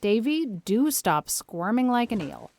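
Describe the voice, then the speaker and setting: A woman playing a stern, practical older woman guardian, brisk and matter-of-fact, in an audiobook drama. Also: on the high side, very animated, a little mellow, dry recording, full character voice. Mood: scolding. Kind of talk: audiobook drama character reading.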